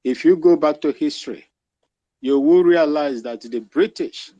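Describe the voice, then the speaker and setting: A man with a Ghanaian accent, moderating a live discussion and putting questions to a guest in a measured, deliberate way. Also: fairly high pitch, warm tone, no room sound, highs cut off. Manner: measured, deliberate, slows for emphasis.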